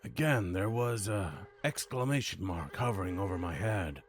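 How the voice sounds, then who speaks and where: A man doing video game commentary and voice practice in a dry, deadpan way, muttering at times. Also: low voice, moderate pace, faint music behind. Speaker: gamer practicing voice acting. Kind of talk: gameplay commentary mixed with character-voice practice. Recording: clearly audible background noise, clicks.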